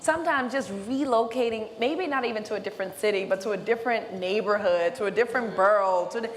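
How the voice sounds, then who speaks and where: A woman's voice: mid-range voice, fast talker. A woman hosting a live event, speaking informally and casually to a panel and audience. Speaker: event host.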